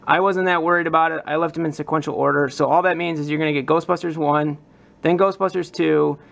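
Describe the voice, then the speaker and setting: A man with a slightly high voice walks through a hobby modification. He talks informally and with enthusiasm. The style is casual, explanatory vlogging. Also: fairly even intonation, fast, gravelly, fairly bright tone. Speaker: prop modder presenting a demonstration.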